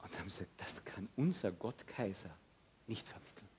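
A man preaching a sermon, swinging from loud outbursts to hushed, quiet passages and acting out prayers. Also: sits low, animated, normal speed, lo-fi sound, slight background noise.

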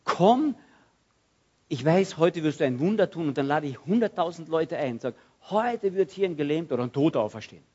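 A man preaching a sermon, swinging from loud outbursts to hushed, quiet passages and acting out prayers. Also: a little high, lively intonation, brisk, slightly rough, highs cut off.

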